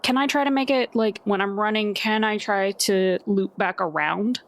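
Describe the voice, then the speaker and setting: Woman player, mid-pitched voice, casual and self-deprecating, animated table talk. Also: normal speed. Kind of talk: casual game-table chat.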